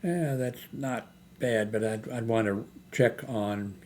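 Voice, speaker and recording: Older male vlogger, low voice, halting off-the-cuff product chat, some background noise on the recording. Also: steady tone, darkish tone. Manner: hesitant, halting, thinking aloud. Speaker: YouTube host. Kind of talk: rambling, unscripted screen-browsing product chat.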